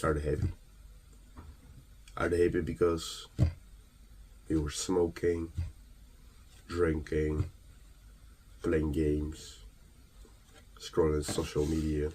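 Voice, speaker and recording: A man from Ukraine delivers an earnest, emphatic motivational monologue, halting between phrases and posing rhetorical questions. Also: extremely low pitch, monotone, a little mellow, husky, low-quality recording. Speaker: motivational speaker.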